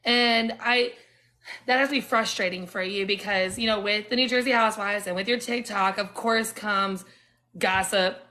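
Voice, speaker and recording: A woman's voice: medium pitch, fairly even intonation, moderate pace, bright, forceful tone. A woman hosting a casual interview, chatty and sympathetic toward her guest. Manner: sympathetic, chatty, laughs along. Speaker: podcast host.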